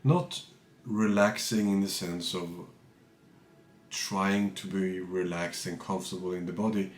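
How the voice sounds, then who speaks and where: A man guiding a meditation as a teacher, calm and measured, speaking in short phrases with pauses between them. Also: deep voice, reverberant, slight background noise. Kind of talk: guided meditation, unscripted spiritual talk.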